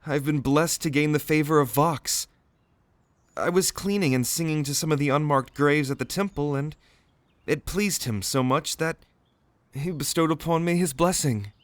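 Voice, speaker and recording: A performer voicing a soft-spoken, polite young temple singer in a fantasy audio drama, formal and a bit hesitant, often sighing. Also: medium pitch, moderate pace, no echo, natural voice. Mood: earnest. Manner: gentle and formal, with frequent sighs and hesitant fillers. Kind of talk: fantasy audio roleplay acting.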